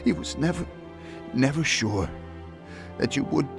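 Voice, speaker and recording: A dying gangster in a game, bitter and taunting, slow drawl, loud music behind. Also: male voice, mid-range voice, animated, creaky. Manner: taunting, pained groans. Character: bitter dying male gangster, former friend. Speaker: game character.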